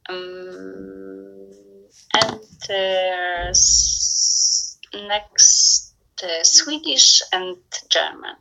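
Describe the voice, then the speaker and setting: Hesitant Polish-accented woman, very slow. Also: lowish voice, wildly swooping pitch, noisy background. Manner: hesitant, searching for words, many fillers. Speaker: language learner. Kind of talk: casual conversation practice.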